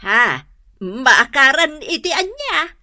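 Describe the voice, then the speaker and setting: A woman's voice: sits high, very animated, slow, bright, forceful tone, no room sound, studio quality, exaggerated voice. A woman playing a defensive, querulous village neighbor in a comedic Ilocano radio drama, full of exclamations. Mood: puzzled.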